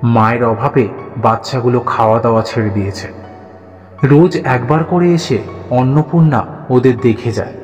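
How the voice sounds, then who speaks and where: A man's voice: medium pitch, darkish tone, long reverb tail. A man narrating a scripted horror audio story in a dramatic storytelling style. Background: background music.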